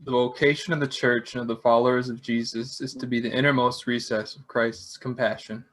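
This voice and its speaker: A man reads spiritual quotations in an earnest, measured way. His pitch is average and his delivery plain. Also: steady tone, fairly bright tone. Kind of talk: reading quotations at a memorial.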